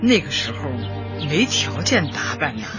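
An actress in a radio drama playing a brisk, cheerful older female community party secretary, chatty and emphatic as she instructs. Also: low voice, big pitch swings. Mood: cheerful, reminiscing. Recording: dull audio with music behind. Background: background music.

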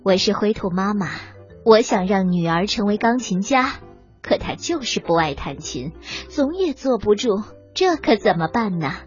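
A woman's voice: medium pitch, sing-song, normal speed, natural voice. A woman voicing an anxious, overeager mother rabbit with a fretful, gushing manner in a children's audio storybook. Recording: band-limited dull sound with music behind.

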